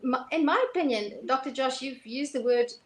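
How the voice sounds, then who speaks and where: A woman's voice: high voice, moderate pace. Woman, thoughtful and hesitant. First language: Afrikaans. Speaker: host. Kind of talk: casual conversation.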